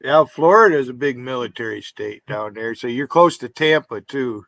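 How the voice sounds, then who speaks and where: A man's voice: mid-range voice, lively intonation, moderate pace, a bit bright, no room sound. A man in his fifties with a northern New York accent, hosting a livestream chat in a blunt, rambling way and swearing freely. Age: 50s. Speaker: livestream host. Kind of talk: casual chat.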